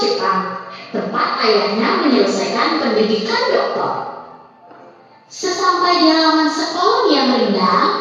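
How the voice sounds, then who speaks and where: High, lively woman reading a story, echoey hall. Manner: animated storybook reading, voices the characters lightly. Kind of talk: children's story read aloud. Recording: distant echoey hall, dull sound, faint music.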